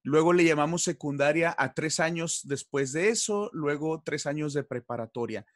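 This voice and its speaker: A man's voice: a little high, normal speed, dry recording, clean studio sound. A man with a Mexican accent hosting a conversational interview, speaking politely and somewhat hesitantly as he sets up his questions. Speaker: interview host.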